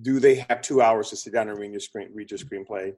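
A man speaking as an expert interview guest, explaining conversationally in an animated way with short punchy phrases and a slightly husky voice. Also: lowish voice, fairly quick. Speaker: interview guest, screenwriting consultant and producer.

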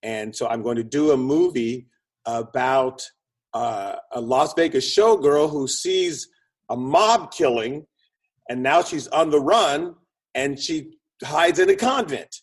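A man speaking as an expert interview guest, explaining conversationally in an animated way with short punchy phrases and a slightly husky voice. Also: medium pitch, slow. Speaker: interview guest, screenwriting consultant and producer.

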